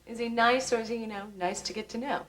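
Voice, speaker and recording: An actress playing a sardonic sister who teases and cracks wise, heard in an echoey room from some distance. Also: female voice, medium pitch, sing-song, normal speed, natural voice. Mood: teasing. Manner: teasing, wisecracking. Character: sardonic, teasing woman, a smoker. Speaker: visiting sister. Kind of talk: film dialogue. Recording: echoey room, mic from afar.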